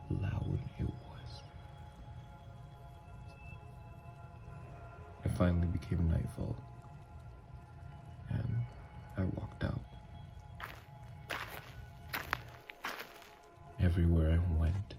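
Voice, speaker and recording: A man playing a tormented, lovestruck young vampire in an intimate ASMR roleplay, speaking in a slow, halting, hushed murmur. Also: low voice, creaky, exaggerated voice. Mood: haunted, brooding. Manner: slow, halting, hushed confession with long pauses. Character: tormented, lovestruck newly turned male vampire, confessing tenderly. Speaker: ASMR voice actor.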